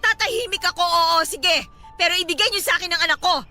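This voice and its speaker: A young Filipino mother in a radio drama, desperate and angry. Her voice is extremely high and piercingly bright. She speaks with heated, emphatic sarcasm over dramatic music. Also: fast talker, heavily acted. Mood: bitter, sarcastic. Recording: dramatic music bed behind the voice.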